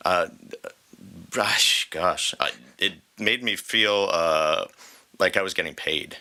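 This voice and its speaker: Deep, very bright-voiced man podcasting. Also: slow. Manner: informal, joking. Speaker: podcast co-host, singer and voice actor. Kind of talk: casual podcast chat. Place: recording studio.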